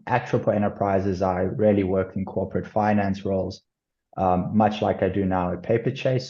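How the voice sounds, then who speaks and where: Low-voiced South African man on a call. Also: dark tone. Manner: measured, formal business talk. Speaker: corporate finance lead. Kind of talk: webinar presentation. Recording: dull lo-fi call audio.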